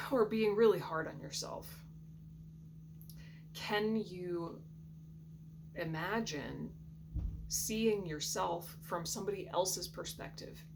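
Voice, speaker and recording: A woman giving a soft, reflective intuitive tarot reading in a groggy morning voice, often clearing her throat. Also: fairly low pitch. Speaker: tarot reader. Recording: steady background hum.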